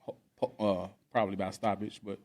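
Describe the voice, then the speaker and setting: A man co-hosting a boxing podcast in casual, joking chat. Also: fairly quick. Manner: joking, lively, drops into a deep put-on voice. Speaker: podcast co-host.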